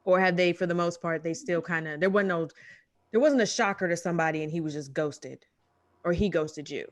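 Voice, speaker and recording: A woman's voice: on the low side, brisk. A young adult woman hosting a podcast, chatting casually in a colloquial, playful way. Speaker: podcast host. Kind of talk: casual chat.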